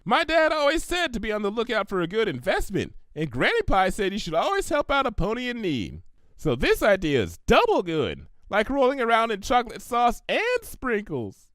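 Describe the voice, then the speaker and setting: A man voicing a bubbly, hyperactive young female cartoon pony with chirpy, excitable emphasis. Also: sits high, normal speed, no room sound, clean studio sound, pitched above the performer's own voice, full character voice. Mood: bubbly, eager.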